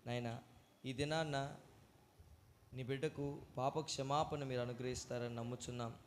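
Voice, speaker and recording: A man's voice: mid-range voice, steady tone, moderate pace. A man with a preacherly, instructive manner, presenting a children's puppet show and leading prayer. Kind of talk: exhorting and leading children at church. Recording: faint noise, live ambience.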